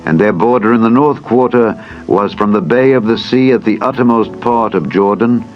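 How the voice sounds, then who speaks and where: Deep male narrator, solemn scripture reading, measured formal delivery, dull audio, background music. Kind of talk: dramatized scripted Bible narration.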